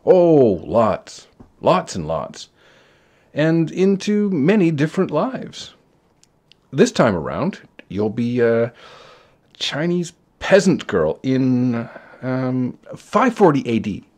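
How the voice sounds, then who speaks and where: A kindly, ageless God of a storybook, acted by a man. He talks slowly in a mid-pitched, very expressive voice, offhand and reassuring about the biggest matters. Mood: kindly, explaining. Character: casual, matter-of-fact, kindly God, ageless authority figure. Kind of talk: storybook character voice.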